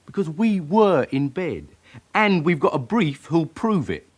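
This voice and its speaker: A man's voice: on the high side, very animated, unhurried, slightly rough, exaggerated voice. An actor playing a defiant young male suspect with a London accent in a radio crime drama, talking in truculent, insistent slang. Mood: stubborn. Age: young adult. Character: defiant twenty-one-year-old loudmouth suspect.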